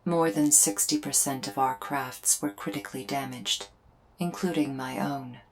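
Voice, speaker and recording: A woman voicing a solemn female alien invader in a scripted ASMR roleplay, speaking in measured, formal, deliberate phrases with pauses. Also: a bass voice. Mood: grave, measured. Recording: music behind the voice. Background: music.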